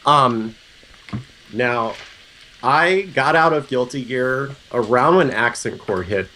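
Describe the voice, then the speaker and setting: A Missouri man in his thirties talks with a cold that makes his voice deeper than usual. He tells stories loudly and chattily, joking as he goes, with a pitch that sits in an ordinary middle range. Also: slow pace, low-quality recording. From Columbia, Missouri. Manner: loud, chatty, jokey storyteller. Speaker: podcast co-host. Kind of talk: casual podcast chat.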